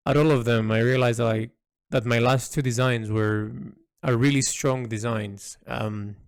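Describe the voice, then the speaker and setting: A man giving a reflective spoken log as an artist, thinking aloud in halting, thoughtful phrases. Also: mid-range voice, no room sound, studio quality. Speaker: artist narrating a design log.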